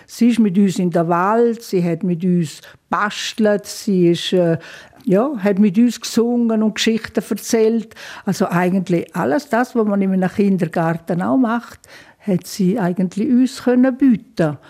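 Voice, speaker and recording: An older woman with a Swiss German accent speaks slowly and reflectively, answering interview questions about her youth. Her voice is mellow and warm in tone, with occasional soft laughter and hesitant fillers. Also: mid-range voice. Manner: thoughtful, frequent fillers, laughs softly at times. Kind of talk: reflective life-story interview.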